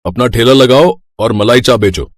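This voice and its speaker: Man acting a kindly, gruff grain merchant. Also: mid-range voice, moderate pace, no echo, natural voice. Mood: warmly impressed. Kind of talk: acted dialogue in a children's moral story.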